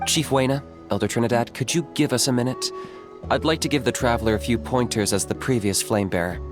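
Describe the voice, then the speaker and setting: A man's voice: mid-range voice, fairly quick, lightly acted. A man playing a composed young mentor in a video game, giving dry, matter-of-fact instructions. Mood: polite. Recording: game audio with loud background music.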